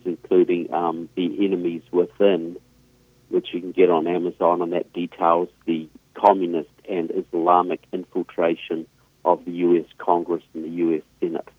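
A man's voice: low voice, no room sound. A man with a New Zealand accent, a guest author explaining his background steadily over a phone line, with some fillers. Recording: tinny phone line, dry.

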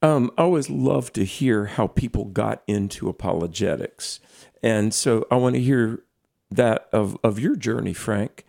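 Hoarse, gravelly male interviewer. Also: medium pitch, dark tone. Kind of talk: ministry interview.

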